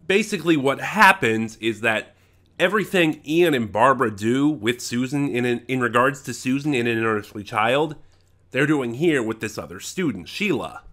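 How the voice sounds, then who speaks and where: A man with a medium-pitched voice talks through a review as the host of his own channel. His delivery is informal and opinionated, and he puts emphasis on the points he cares about. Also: sharp tone. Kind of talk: informal spoken audio drama review.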